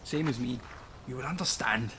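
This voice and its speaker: A man's voice: mid-range voice, moderate pace, bright, forceful tone, slight background noise, close to an everyday voice. A man playing a grim, stubborn old miner in a radio play, solemn and portentous, almost chanting his words. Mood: insistent.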